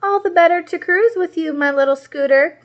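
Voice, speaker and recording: A woman's voice: extremely high pitch, a little fast, voice pushed up. A woman growling as a mean monster truck. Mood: mock sweet. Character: mean hungry male monster truck bully. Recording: muffled lo-fi recording.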